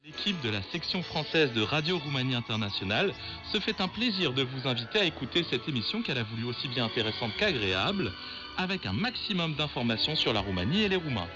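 A male radio announcer reads a scripted introduction with lively, varied pitch. Loud music plays behind him, and the shortwave broadcast dulls the sound. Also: quick pace, lo-fi sound.

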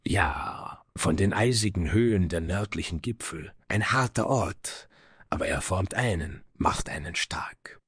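An actor playing a somber, proud dwarf smith in a fantasy audio drama, grave and dramatic with breathy, hushed phrases and a husky voice. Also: male voice, low-pitched, a little slow, no echo, studio quality, full character voice. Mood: grave, reflective.

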